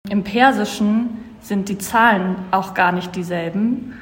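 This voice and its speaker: A math teacher answers interview questions about her class in a relaxed, conversational way, often restarting her sentences. Her voice is mid-pitched for a woman. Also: unhurried, husky. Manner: relaxed explaining with fillers.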